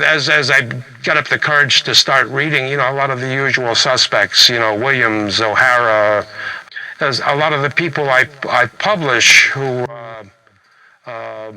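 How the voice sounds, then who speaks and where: Very bright, forceful-voiced man talking thoughtfully. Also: medium pitch, low-quality recording. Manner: halting, thoughtful, trailing phrases. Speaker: poet being interviewed. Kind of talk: reflective interview talk.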